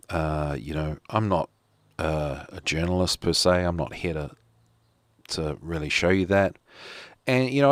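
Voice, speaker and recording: Rambling Kiwi man, very low voice. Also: no echo. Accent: New Zealand. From New Zealand. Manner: rambling, lots of fillers and restarts. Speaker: podcast host. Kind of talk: casual solo talk show monologue.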